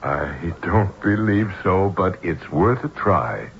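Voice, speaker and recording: An actor playing a brisk, jumpy American businessman and husband in an old-time radio drama. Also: low-pitched, lively intonation, gravelly, crowd noise, exaggerated voice. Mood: guarded. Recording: muffled, noisy old radio broadcast.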